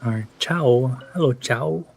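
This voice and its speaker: A young man streams a game in a mid-pitched voice. He chats casually and ramblingly, full of slang and self-deprecating asides. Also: slow pace, gravelly, a little mellow. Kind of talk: casual live gameplay commentary.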